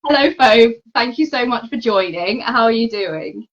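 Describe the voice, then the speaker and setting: A woman's voice: fairly high pitch, animated, moderate pace. A woman hosting a live online chat, talking in a friendly, chatty way with many fillers over a video call. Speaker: host. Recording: dull lo-fi video call audio.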